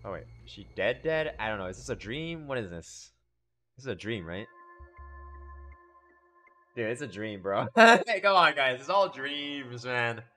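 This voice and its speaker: A young man streaming live reactions to game cutscenes in casual slang, excitable and exclamatory. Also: medium pitch, a little slow, long pauses. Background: game music.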